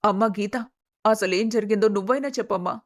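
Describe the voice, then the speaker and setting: A woman's voice: medium pitch, brisk, close to an everyday voice. A woman playing an anxious, tearful middle-aged wife and mother in a melodramatic family story. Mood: worried, fearful.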